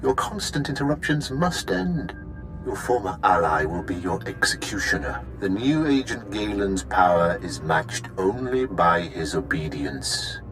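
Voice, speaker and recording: A deep, cold, domineering villain. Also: male voice, sing-song, a little slow, noisy background. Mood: cold contempt. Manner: imperious, clinical, menacing. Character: cold, domineering male villain controlling his enslaved agent. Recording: music behind the voice.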